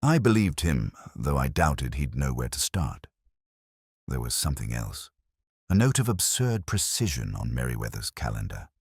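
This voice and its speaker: A weary, cultured police inspector played by a man narrates a detective drama in an extremely low, husky voice. He is brooding and measured, with literary phrasing. The sound is close and dry. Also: very animated, no room sound, heavily acted. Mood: melancholy, pensive. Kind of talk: scripted first-person detective drama narration.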